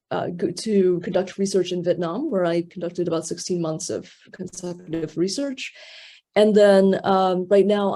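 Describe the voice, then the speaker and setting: A woman's voice: lowish voice, vocal fry, mellow. A woman in her thirties moderating an online panel, speaking with many fillers and restarts.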